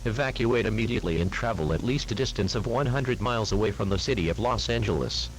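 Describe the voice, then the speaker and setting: A man reading a scripted emergency alert announcement in a formal, grave, deliberate manner. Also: fairly low pitch, expressive, a little hiss or hum, rough recording.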